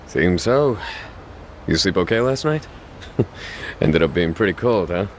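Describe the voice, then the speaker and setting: A man's voice: low voice, lively intonation, slow, a little hiss or hum. A man playing a sly, confident fixer loyal to his boss in an English video game dub, speaking in a casual, coy way.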